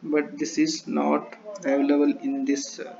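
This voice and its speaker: Guy doing a halting tutorial, very monotone, muffled mic w a bit of noise. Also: fairly high pitch, vocal fry. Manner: halting delivery in short broken phrases. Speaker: tutorial presenter. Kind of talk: screen-share tutorial, explaining step by step. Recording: dull lo-fi mic with faint noise.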